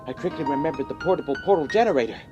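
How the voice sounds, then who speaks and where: A man playing an earnest, nervous young monster-hunting sidekick in a comedy audio drama, expressive in delivery. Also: fairly high pitch, racing, lo-fi sound, music in the background, lightly acted. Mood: urgent.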